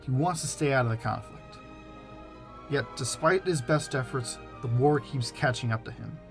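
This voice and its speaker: A man's voice: mid-range voice, moderate pace, gravelly, over music. A man reading scripted narration for a video essay.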